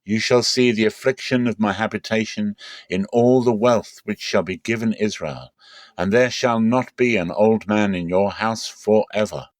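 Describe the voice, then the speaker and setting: Man playing prophet of doom, stern, solemn, forceful, declamatory, dramatic scripture reading, dry sound. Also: fairly low pitch, normal speed, no room sound, pitched above the performer's own voice, natural voice. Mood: grave judgment. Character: stern male prophet delivering God's judgment.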